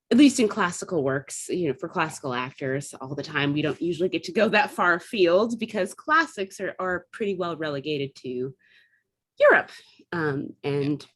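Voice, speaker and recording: A woman chatting casually as an interview guest, explaining things in a talkative way and thinking aloud as she goes. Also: fairly low pitch. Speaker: guest, theatre artist and classical actor.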